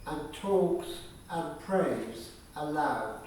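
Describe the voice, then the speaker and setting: A male narrator reads a scripted radio play slowly in a slightly high voice. The recording is very echoey and a little distant. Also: lively intonation, a little mellow. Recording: very echoey, distant, faint background noise.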